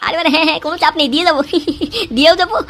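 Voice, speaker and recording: A woman voicing a cheeky, scheming, foul-mouthed young lad in a comic cartoon, with artificially raised pitch and crude, excitable slang. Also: very fast, very noisy. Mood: scheming, eager. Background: music.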